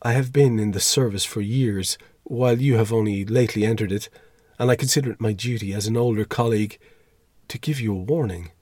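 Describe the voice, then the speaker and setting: A man's voice: medium pitch, animated, normal speed, husky, no echo, clean studio sound, pitched above the performer's own voice. A man reading a storybook as a fussy, timid, easily shocked older schoolmaster with a formal, pleading, moralising manner. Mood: mournful, solemn.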